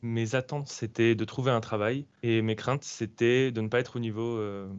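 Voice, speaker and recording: Young man, twenties, monotone, plain delivery, faint music, muffled. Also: mid-range voice, moderate pace, smooth. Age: 20s. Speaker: former film student, now data scientist. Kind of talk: testimonial interview.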